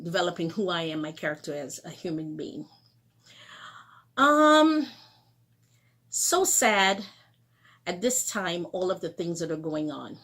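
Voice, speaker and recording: A woman's voice: on the low side, a bit bright. A middle-aged woman from Guyana hosting her own show, speaking earnestly to viewers with a lozenge in her mouth and a sore throat starting. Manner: earnest, urging, conversational. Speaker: show host. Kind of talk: direct-to-camera talk.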